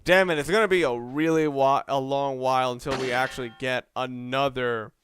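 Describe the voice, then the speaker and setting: A man's voice: medium pitch, steady tone, moderate pace, a bit bright, a little hiss or hum. A man giving casual, rambling video game commentary, talking through his reasoning aloud as he plays. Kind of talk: casual let's play commentary.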